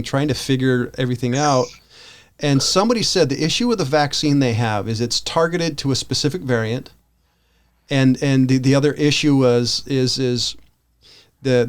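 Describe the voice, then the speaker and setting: An American man with a mid-pitched voice co-hosts a casual podcast. He talks through his opinions in a rambling way, often stopping and doubling back on his phrasing. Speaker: podcast co-host.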